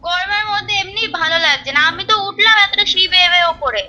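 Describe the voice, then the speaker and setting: A woman with a very high voice complains quickly over the phone, irritated at being sent back and forth. The call carries clear background noise. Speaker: prank target on the phone.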